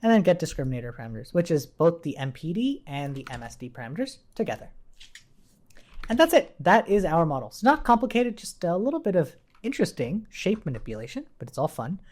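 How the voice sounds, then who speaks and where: Woman doing a coding walkthrough, pretty low voice that moves up and down a lot, casual step by step explaining. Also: big pitch swings, fast talker, darkish tone. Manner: informal, explains step by step, checks with "right?". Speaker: instructor. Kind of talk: live coding tutorial, explanatory.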